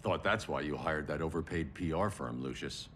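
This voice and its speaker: An actor playing a grave, stern middle-aged male vigilante in a video game cutscene, speaking in a measured, restrained way. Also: rumbling low, fairly bright tone, slight background noise. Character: brooding grim male vigilante hero, stern. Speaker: masked vigilante and father.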